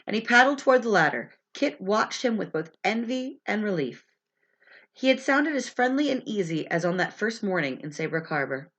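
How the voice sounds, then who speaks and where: A woman's voice: mid-range voice, sing-song, normal speed, fairly bright tone. A woman with a stuffy, congested voice, reading a story aloud in a storytelling style. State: sinus congestion from allergies. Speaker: reader of an audiobook chapter. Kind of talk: read-aloud storytelling with chatty intro. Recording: dull, lo-fi sound.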